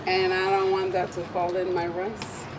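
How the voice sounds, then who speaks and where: A woman with a raspy, slightly low voice, still getting over a cold, chats loosely through a cooking demonstration, full of ums and side remarks. She is recorded in a home kitchen, with loud background noise under her voice. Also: monotone, normal speed. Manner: chatty, meandering, with frequent ums. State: recovering from a cold. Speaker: cooking video host.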